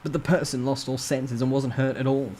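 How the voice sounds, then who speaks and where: A man's voice: mid-range voice, flat delivery, fairly quick, a little mellow, slight background noise. A man giving a dramatic first-person storytime reading of a novel. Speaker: narrator reading a novel aloud.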